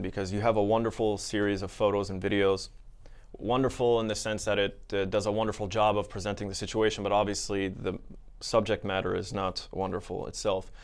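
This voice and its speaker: Male podcast host, low smooth voice, courteous interviewer. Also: steady tone, brisk. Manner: polite, checks facts with guests.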